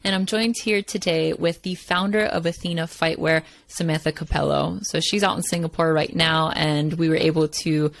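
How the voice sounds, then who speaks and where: Chatty low-voiced woman podcast host. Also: steady tone, normal speed, gravelly, hardly any pauses. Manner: upbeat, chatty, lots of fillers like "like" and "right". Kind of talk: casual podcast interview.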